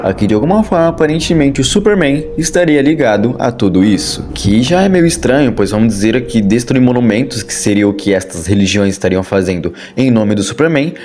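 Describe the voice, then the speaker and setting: A man's voice: medium pitch, animated, brisk, few pauses, darkish tone. A man with a Brazilian accent giving casual, chatty scripted commentary as the host of an online video. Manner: informal, chatty storytelling with colloquial turns. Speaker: YouTube host and narrator. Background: background music.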